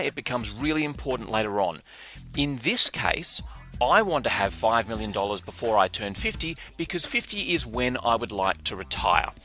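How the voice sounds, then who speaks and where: A man under fifty teaching a lesson in a lively, conversational way, using his own examples. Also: medium pitch. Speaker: instructor. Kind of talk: explaining a lesson.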